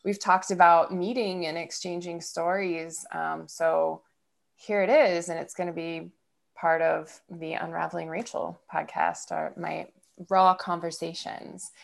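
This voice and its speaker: A woman's voice: lowish voice, level tone, a little slow, gravelly. A woman from Ohio hosting a podcast, talking casually and rambling with fillers and laughs. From Ohio, USA. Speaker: podcast host. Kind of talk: casual conversation.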